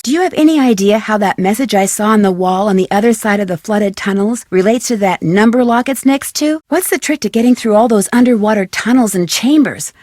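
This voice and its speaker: An actress playing a curious, polite, chipper young female detective in a video game, asking things courteously and clearly. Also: on the high side, darkish tone, dry recording, studio quality, natural voice. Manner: inquisitive, courteous, clearly enunciated questioning. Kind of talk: scripted video game dialogue.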